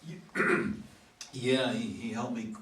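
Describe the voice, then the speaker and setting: A man in a stage play reading, playing a flustered, evasive homeless man with a halting, stammering delivery. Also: mid-range voice, steady tone, a bit bright. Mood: wistful. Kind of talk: stage play read aloud by readers' theater. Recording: echoey room, distant mic, faint noise.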